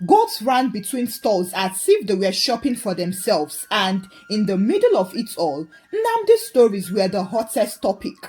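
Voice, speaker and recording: A woman with a Nigerian accent telling a folktale as scripted narration in a dramatic, expressive way. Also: mid-range voice, a little slow, few pauses, faint music behind. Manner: dramatic, animated storytelling. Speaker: storyteller.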